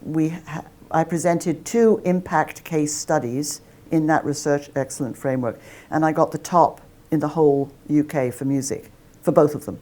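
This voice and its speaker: A woman's voice: low-pitched, steady tone, moderate pace, few pauses, warm tone. A woman academic speaking at a talk and answering audience questions, chatty and self-deprecating, with frequent restarts.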